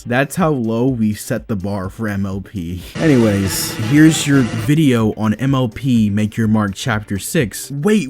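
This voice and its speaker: A man giving scripted review commentary on a cartoon, sarcastic and exasperated, swinging between deadpan and outbursts. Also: medium pitch. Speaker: video reviewer, host.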